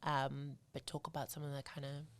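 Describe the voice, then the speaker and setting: A woman's voice: extremely low pitch, monotone, normal speed, smooth. A woman from Zimbabwe, in her thirties, chatting casually as a podcast guest, full of fillers like "like" and "you know what I mean". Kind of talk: casual interview chat.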